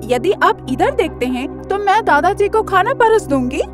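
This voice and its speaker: A woman voicing a sharp-tongued young aunt in a Hindi cartoon story. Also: very high-pitched, animated, moderate pace, music in the background. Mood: sarcastic.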